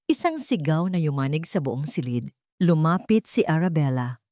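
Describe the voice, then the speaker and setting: A woman's voice: deep voice, very animated, moderate pace, dark tone, no echo, studio quality. A woman storyteller giving dramatic scripted narration of a romantic story.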